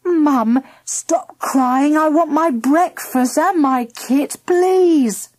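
A woman's voice: high voice, extremely slow, a little mellow, voice pushed up. A woman voicing a demanding, impatient schoolboy in a children's story, with a whining manner.

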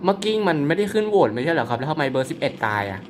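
A man chatting over his mobile game in a lively, bouncing voice that swings up and down. He's playful and teasing, full of mock-polite apologies and sudden exclamations. A clear hiss of background noise sits under his voice. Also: fairly high pitch, rapid-fire. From Thailand. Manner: exclaims and laughs often. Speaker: mobile game player and channel host. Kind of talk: casual gameplay commentary.

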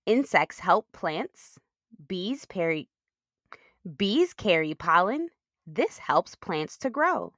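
Slow-paced, animated woman reading to children. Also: on the low side, dry recording, clean studio sound. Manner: addresses young listeners as friends, simple explanatory talk. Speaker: host of children's read-aloud show. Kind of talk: children's picture book read-aloud.

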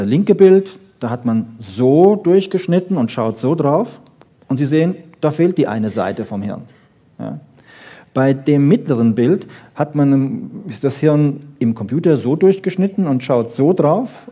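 Husky, mellow man giving a lecture. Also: mid-range voice. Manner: emphatic, with rhetorical questions and frequent "ja" checks. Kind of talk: popular science lecture to an audience, freely spoken.

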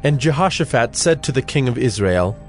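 Mid-pitched man narrating over music. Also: expressive. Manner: measured, formal reading. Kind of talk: scripted Bible narration.

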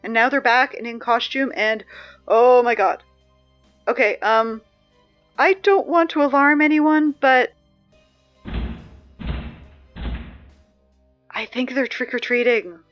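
A woman's voice: on the high side, unhurried, highs cut off, faint music behind, close to an everyday voice. A woman playing a flustered school announcer. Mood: anxious. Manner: chatty, growing flustered and panicky. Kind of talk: audio drama acting.

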